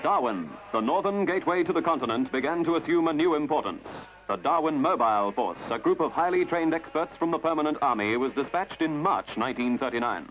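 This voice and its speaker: A man narrates a scripted newsreel in a dramatic, measured, slightly wry way. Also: on the high side, lively intonation, a little hiss or hum. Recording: dull lo-fi archival film soundtrack with music. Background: prominent music.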